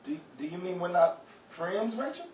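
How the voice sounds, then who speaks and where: A man's voice: high-pitched, sing-song, normal speed, darkish tone, dull audio. A man playing an eager, bumbling character in a 1930s radio comedy, with genial patter and some stammering. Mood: befuddled. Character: eager, bumbling 1930s man, boxing trainer and quiz announcer. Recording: roomy, distant, faint background noise.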